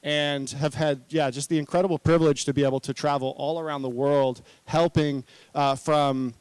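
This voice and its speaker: Smooth-voiced man giving an informal talk. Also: on the high side, steady tone, darkish tone, no room sound. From New Zealand-born, raised in Hawaii. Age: 40s. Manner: earnest, conversational, halting with frequent self-corrections. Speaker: conference speaker, marketing consultant.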